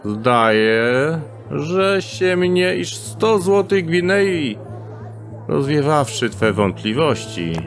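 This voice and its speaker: A man's voice: fairly high pitch, big pitch swings, very slow, soft background music, full character voice. An actor playing a sly male thief in an audio drama, speaking in stilted archaic phrasing and coaxing his friend into a scheme. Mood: scheming.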